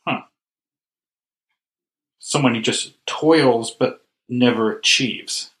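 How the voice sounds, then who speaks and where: A man's voice: medium pitch, takes it slowly, a bit bright. A man playing a despondent, earnest school cleaner in improvised comedy, plaintive and repeating himself. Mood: resigned. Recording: roomy, echoing sound.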